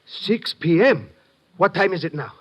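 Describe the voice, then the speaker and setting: An actor playing a formal, businesslike Greek police lieutenant who gives precise orders in a 1950s radio drama. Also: male voice, sits high, big pitch swings, unhurried, fairly bright tone, slightly rough, full character voice. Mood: urgent. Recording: muffled, noisy old radio recording.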